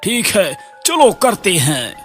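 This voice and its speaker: Man playing a haughty, high-pitched underworld god. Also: wildly swooping pitch, takes it slowly, fairly bright tone, soft background music, exaggerated voice. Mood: bargaining. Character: haughty male god of the underworld, mocking bargainer. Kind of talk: animated fairy-tale voice acting.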